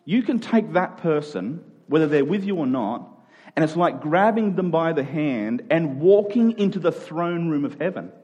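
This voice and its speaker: A man's voice: a little high, lively intonation, moderate pace, dark tone, breathy edge, lo-fi sound. A man preaching a conversational sermon, earnest, repeating key phrases for emphasis.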